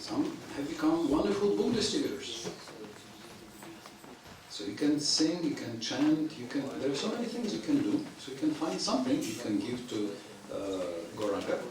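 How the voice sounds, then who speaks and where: Man preaching in english, not his first language, clears his throat a lot, very echoey hall, crowd around. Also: on the high side, big pitch swings. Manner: halting, with frequent throat clearing and fillers. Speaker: visiting preacher. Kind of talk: devotional lecture. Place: temple hall. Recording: echoey temple hall, mic at a distance, audience heard.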